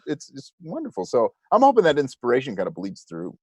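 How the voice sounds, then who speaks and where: Expressive man, lively swooping delivery. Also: mid-range voice, a little fast, husky, no room sound. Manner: enthusiastic, expressive. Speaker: interview guest, podcast creator. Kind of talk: casual interview chat.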